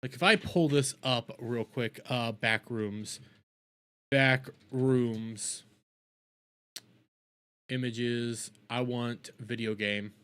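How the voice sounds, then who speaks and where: A man's voice: mid-range voice, fairly even intonation, extremely slow, long pauses, fairly bright tone, clear, resonant tone. A man hosting a casual live reaction stream, talking bluntly with swearing and repeating phrases for emphasis. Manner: blunt, profane, opinionated.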